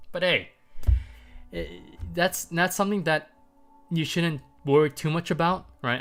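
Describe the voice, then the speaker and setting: A man's voice: medium pitch, fairly bright tone. A man hosting a market livestream, talking loosely to viewers with lots of "um" and "right?", his voice a little stuffy from congestion. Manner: loose and chatty, many ums and rhetorical "right?", light chuckles. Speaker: market commentary livestream host. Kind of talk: casual livestream talk to viewers.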